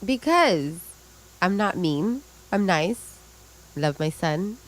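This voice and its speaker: A woman's voice: lowish voice, slow, poor audio. A woman in her late thirties co-hosting a podcast, chatting casually, laughing often and swearing freely. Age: 30s. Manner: giggly, profane, animated storytelling. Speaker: podcast co-host. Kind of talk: casual chat.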